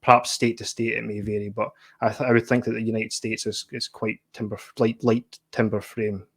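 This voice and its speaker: A man's voice: on the low side, little pitch movement, brisk, husky. Male host, casual chat, stumbles and repeats while asking questions. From Scotland. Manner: chatty, repeats words while framing questions. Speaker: podcast host.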